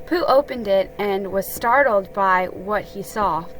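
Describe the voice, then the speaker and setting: A woman's voice: mid-range voice, a little slow, faint music behind, rough recording. A woman narrating a children's story as a dramatic reading.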